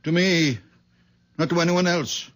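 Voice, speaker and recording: A man's voice: fairly high pitch, a little slow. A stubborn, very old father. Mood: insistent. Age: older. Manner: quiet, then fiercely insistent. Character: very old man, father, frail yet stubborn. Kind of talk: 1970s radio drama acting.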